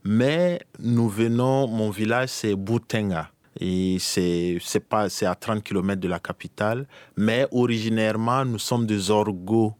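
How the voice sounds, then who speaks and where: A man from Burkina Faso in an interview. His voice sits low and he talks haltingly, restarting his sentences. The sound is dry, with no room echo. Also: steady tone. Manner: hesitant, many restarts. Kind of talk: casual conversation.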